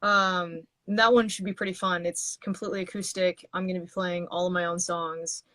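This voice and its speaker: A woman's voice: on the low side, flat delivery, normal speed, pushed and bright, dry recording. A woman musician, chatting on a video call as an interview guest, talking in loose, rambling sentences with many restarts. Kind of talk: casual interview chat.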